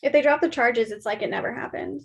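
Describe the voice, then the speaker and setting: A woman's voice: on the high side, fast, lightly acted. A woman acting a breezy, coaxing young roommate with casual chatter in a naturalistic stage play reading. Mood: gentle.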